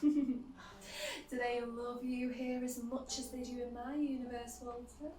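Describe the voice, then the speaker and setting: An actress playing a chirpy, polished girlfriend co-presenter, giving coy, sugary reassurance in a scripted sales pitch. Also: fairly even intonation, slow pace, a little mellow, slight background noise. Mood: coy, teasing. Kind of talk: scripted infomercial patter in an audio drama. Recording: roomy echo, music underneath.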